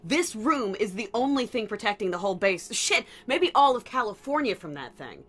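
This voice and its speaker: A woman's voice: a little high, big pitch swings, moderate pace, a bit bright. A woman playing a loyal, skeptical deputy in an audio drama, frank and plainspoken. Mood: skeptical, alarmed. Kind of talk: noir audio drama acting. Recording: music bed under voice.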